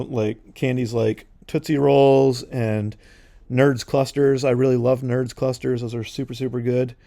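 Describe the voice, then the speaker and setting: A man's voice: mid-range voice, vocal fry, dark tone, no echo. A man in his forties casually rambling as a podcast host while he paints, drifting into side notes with lots of fillers. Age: 40s.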